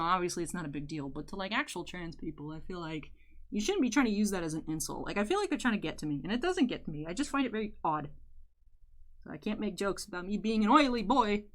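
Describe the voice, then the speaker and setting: A young woman with a deeper voice, chatting candidly while doing her makeup for a vlog. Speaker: YouTuber. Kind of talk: casual makeup tutorial chat.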